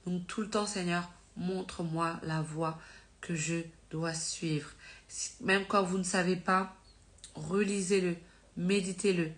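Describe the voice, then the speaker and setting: A woman's voice: lowish voice, steady tone, slow pace. A woman with a slight cold, teaching about faith on a live stream in an informal, conversational way, full of fillers and self-corrections. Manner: earnest and encouraging.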